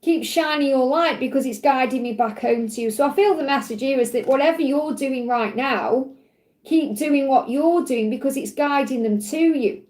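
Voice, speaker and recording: A woman giving a live tarot card reading to viewers, her voice husky and tiring, speaking in a soothing, reassuring way that keeps checking in with "okay?". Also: high-pitched, normal speed, darkish tone.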